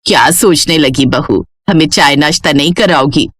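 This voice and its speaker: Woman doing a gushing older auntie, lowered voice. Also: moderate pace, fairly bright tone, husky, no echo, studio quality. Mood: coaxing. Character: admiring older village auntie, gushing and chatty. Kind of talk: Hindi moral storybook character voice.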